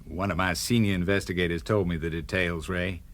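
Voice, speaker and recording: An actor playing a principled, hard-edged American senator in a radio drama, firm, cool and uncompromising. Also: male voice, low voice, fairly even intonation, fairly quick, close to an everyday voice. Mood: cold resolve.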